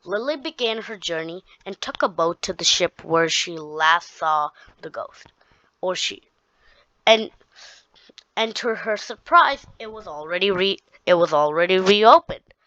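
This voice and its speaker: A woman reads her own story aloud, halting and restarting her sentences as she goes. Her pace is slow and her tone bright and a little tense. The recording is dull and dry. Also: lowish voice, expressive, dry recording. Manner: halting, with frequent restarts and repeated words.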